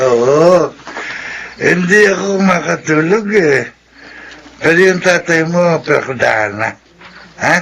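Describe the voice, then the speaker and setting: A man with a Filipino accent playing a lecherous, menacing, chuckling drunken family friend in a radio drama, with a gravelly, drawn-out delivery. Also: fairly high pitch, expressive, a bit bright, full character voice. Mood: sly, chuckling. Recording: noisy radio drama with ambience.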